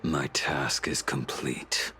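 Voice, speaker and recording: Brooding game warrior, gravelly, very slow, terse, menacing, forceful edge. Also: male voice, a bass voice, fairly even intonation, slight background noise, exaggerated voice. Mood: brooding, detached. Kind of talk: dramatic game cutscene dialogue.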